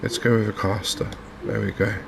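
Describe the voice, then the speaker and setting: A man hosting a gaming video in a softly spoken ASMR commentary style, unhurried and hesitant, musing to himself. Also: fairly low pitch, little pitch movement, vocal fry, background noise.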